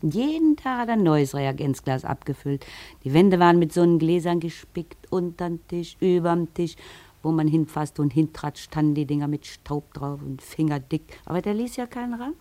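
An actress playing a brash, earthy middle-aged Berlin hairdresser in a radio play, telling stories in a colloquial, no-nonsense way. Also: female voice, low voice, animated, normal speed, dark tone. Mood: exasperated reminiscing. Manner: colloquial, vivid, no-nonsense storytelling. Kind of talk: German radio play acting.

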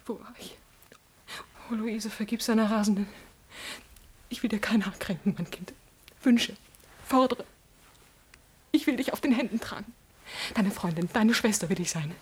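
A woman's voice: medium pitch, normal speed, long pauses, breathy edge, natural voice. An actress playing a proud, jealous noblewoman in a classical radio drama, speaking in a theatrical, imperious manner. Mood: desperate pleading. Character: proud, jealous noblewoman, a passionate prince's mistress.

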